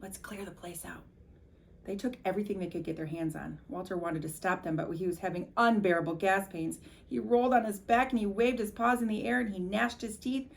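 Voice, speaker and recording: A woman with a medium-pitched voice reads a children's storybook aloud. Her narration is expressive and animated, with emphasis on key words. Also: a little fast, creaky, few pauses. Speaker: volunteer story reader.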